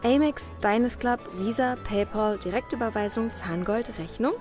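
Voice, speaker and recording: A woman playing a young, brisk, officious cinema counter clerk who rattles off sales jargon in a comedy cartoon sketch. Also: fairly high pitch, a little mellow, soft background music, close to an everyday voice. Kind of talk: comedy audio sketch acting.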